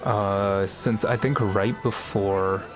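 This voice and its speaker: A man's voice: on the low side, steady tone, takes it slowly. A man livestreaming a video game, chatting casually and musing aloud in a laid-back way. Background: game music.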